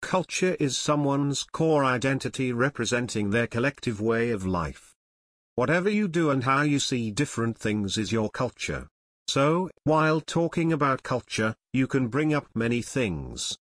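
A man narrating a scripted English lesson, reading slide text slowly and evenly as the channel's host. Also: medium pitch, very animated, fairly bright tone, dry recording, clean studio sound. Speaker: English teacher.

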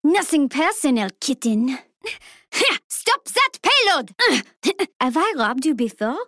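Sassy high-pitched young thief girl. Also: big pitch swings, slow, fairly bright tone, dry recording, clean studio sound, full character voice. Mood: cocky, teasing. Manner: sassy, taunting, playful. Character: cocky young female street thief with knives. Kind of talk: video game character voicelines, short barks.

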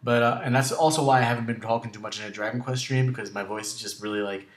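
Male game commentator, mid-pitched, raspy and sore-throated, slightly breathless, casual delivery, roomy home recording. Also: fast. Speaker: video host narrating his own game battle. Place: indoor room at home.

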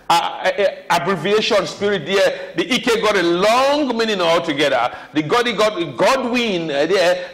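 A man's voice: sits high, fairly bright tone. A man with a Nigerian accent hosting a TV talk show, rambling and emphatic with many hesitations. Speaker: TV show host.

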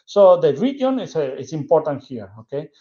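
Man, Spanish accent, fairly high voice, technical presenter, informal, halting delivery, frequent checking tags, lo-fi video-call sound. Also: sing-song, mellow. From southern Spain. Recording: dull, lo-fi webcam audio.

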